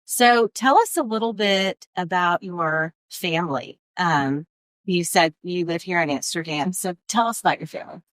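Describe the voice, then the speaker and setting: A woman hosts a design podcast and asks her guest friendly, prompting questions. Her voice sits a little low, and the recording is dry and close. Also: normal speed, a bit bright, no room sound. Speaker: podcast co-host. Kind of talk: casual interview conversation.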